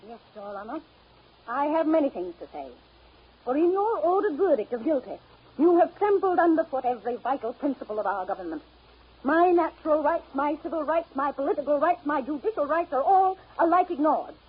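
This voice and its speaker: Defiant high-voiced suffragist, old radio. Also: female voice, lively intonation, brisk. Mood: indignant, oratorical. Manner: declamatory, emphatic, formal oratory. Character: defiant, indignant women's suffrage campaigner. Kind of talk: 1930s radio drama acting. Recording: muffled, noisy 1930s radio recording.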